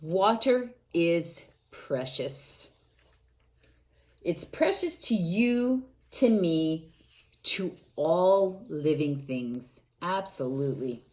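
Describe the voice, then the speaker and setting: A woman reading a picture book aloud to young children, gently asking them questions and adding playful sound effects. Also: on the low side, expressive, very slow. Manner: gentle, coaxing, asks the young listeners questions.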